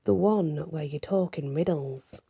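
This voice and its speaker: A woman voicing a proud, defiant male shapeshifter in a storybook reading, gruff and snarling in manner. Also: sits low, unhurried, mellow, no echo, exaggerated voice. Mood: wry, irritated.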